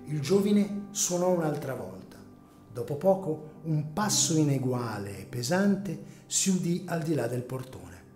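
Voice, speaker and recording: A man narrating a dramatic story from a script in a measured, solemn, literary manner. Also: a little high, sing-song, mellow. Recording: echoey room, music underneath.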